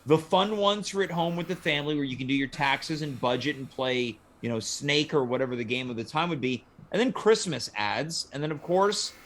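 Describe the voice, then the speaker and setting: A man with a fairly high-pitched voice acts as host of a casual panel talk. He speaks conversationally and directs questions to the others. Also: a little fast, few pauses, fairly bright tone, slight background noise.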